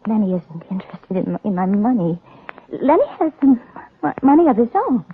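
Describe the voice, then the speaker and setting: A woman acting a fragile, lonely rich young woman who is dazed and unravelling, halting and stammering in 1940s radio style. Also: mid-range voice, big pitch swings, slight background noise. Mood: confused, halting. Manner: halting, stammering, repeating words. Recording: thin narrow-band old radio recording.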